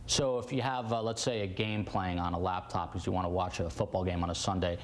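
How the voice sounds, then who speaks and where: A man's voice: deep voice, fairly even intonation, fast. A man with an American accent asking probing questions as a television interviewer. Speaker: interviewer, TV reporter.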